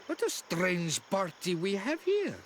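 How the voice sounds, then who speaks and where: An actress playing an enigmatic, forgetful old island hermit woman in a video game, musing in halting phrases. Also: mid-range voice, big pitch swings, slight background noise. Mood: curious, wary. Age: older. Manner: slow, cryptic, musing, halting. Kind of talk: video game character acting.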